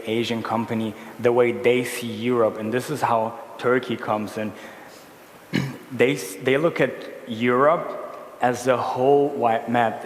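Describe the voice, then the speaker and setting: A man from Brazil giving a conference presentation, walking through slides and often correcting himself mid-sentence. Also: mid-range voice. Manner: self-correcting, explaining slides. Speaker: conference speaker. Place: large conference hall. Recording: echoey hall with faint room noise.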